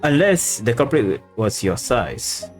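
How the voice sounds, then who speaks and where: A woman with a very deep voice gives casual game commentary and reads text aloud. She goes slowly and haltingly, stumbling at times, yet her pitch moves in a lively way over faint game music. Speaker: game streamer.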